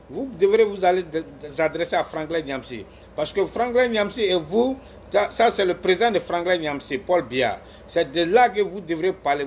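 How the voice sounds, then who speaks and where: A man's voice: on the high side, slight background noise. Argumentative man scolding viewers. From Ivory Coast. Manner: argumentative, scolds viewers by name, repeats himself. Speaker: live-stream commentator answering viewers. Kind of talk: heated political commentary.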